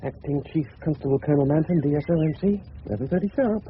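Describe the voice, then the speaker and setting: An actor playing an unassuming, deferential English detective constable, plain-spoken and a little hesitant, in a radio drama. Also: male voice, fairly high pitch, quick pace, natural voice. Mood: musing. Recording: very noisy tinny old radio recording.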